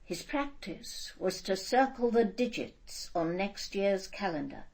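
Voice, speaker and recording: A woman's voice: mid-range voice, lively intonation, slow, darkish tone, low-quality recording. A woman playing a proud, cold elderly aristocrat in a radio drama, speaking with haughty, precise control. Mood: coolly informative.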